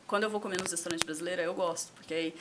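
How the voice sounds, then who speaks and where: A woman's voice: on the low side, fairly even intonation, very fast, background noise. A Brazilian woman in her thirties, a guest on a podcast, chatting casually and repeating words as she thinks. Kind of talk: casual chat.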